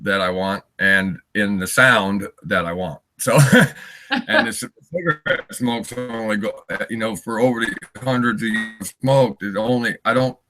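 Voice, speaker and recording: Guest: raspy man of fifty, low-pitched and bright-toned, candid and wandering. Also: lively intonation, a little slow. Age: 50s. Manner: wandering, candid, laughs often. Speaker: interview guest, singer. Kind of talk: rambling live interview chat.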